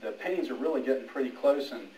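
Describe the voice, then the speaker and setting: A middle-aged man giving an informal retirement speech as a police major, speaking haltingly in an anecdotal way. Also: mid-range voice, a loud crowd. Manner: halting, anecdotal, with quoted dialogue. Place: indoor room at a retirement party. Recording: echoey room, distant mic, background noise.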